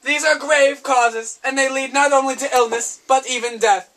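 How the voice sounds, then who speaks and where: Stern female spirit of wisdom, acted by a woman, high-pitched, bright, theatrical, declamatory, lofty teacher, philosophical stage dialogue. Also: steady tone, normal speed, low-quality recording. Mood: grave, emphatic. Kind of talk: dramatic reading of a philosophical dialogue.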